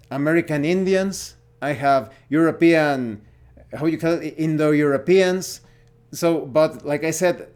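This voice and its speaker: A man with a Mexican accent gives a casual opinion monologue as a gaming vlogger, speaking haltingly and searching for words. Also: on the high side, a little mellow.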